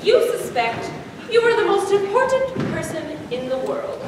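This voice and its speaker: A woman's voice: very high voice, very animated, moderate pace, distant mic, noisy background. Teen girl performing dramatically in echoey hall. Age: teens. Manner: dramatic, swings from hushed to emphatic. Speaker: high school student performer. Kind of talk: readers theater stage performance. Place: large school hall on stage. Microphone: distant room mic.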